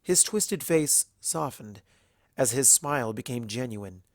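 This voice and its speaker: A man narrating dramatic fiction from a script in a measured, solemn way. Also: medium pitch, expressive, no room sound, studio quality.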